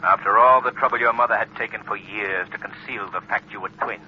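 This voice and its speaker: An actor playing a mysterious, coolly superior crime fighter in 1930s radio style, with a deliberate, taunting manner. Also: male voice, lowish voice, fairly even intonation, natural voice. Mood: mocking, smug. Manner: mocking, deliberate. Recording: muffled, noisy 1930s radio recording.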